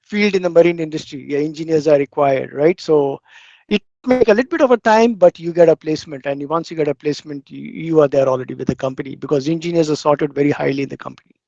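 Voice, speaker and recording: A man's voice: a little high, quick pace, gravelly, a little mellow, no echo. A man hosting an online meeting as a program representative and answering questions. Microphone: online meeting call. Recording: dull lo-fi online call audio.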